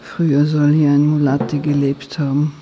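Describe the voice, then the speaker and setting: A performer reading as a detached, measured narrator of remote, desolate islands in a literary radio play. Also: mid-range voice, completely flat delivery, music in the background, lightly acted, poor audio.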